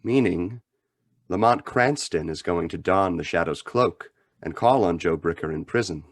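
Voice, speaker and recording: An actor playing a grave, composed mystery crime-fighter in a radio drama, speaking in a measured, formal way. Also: male voice, deep voice, no echo. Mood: resolute. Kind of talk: old-time radio drama acting.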